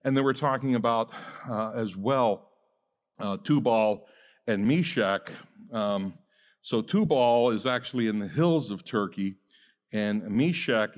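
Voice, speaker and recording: A middle-aged man preaching a teaching sermon as a pastor, talking conversationally with many fillers and quick asides. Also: lowish voice. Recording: long hall reverb.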